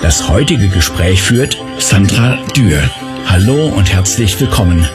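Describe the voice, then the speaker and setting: A man's voice: low voice, animated, unhurried. A man reading a scripted podcast intro in an announcer's manner. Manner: announcer-style delivery. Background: music.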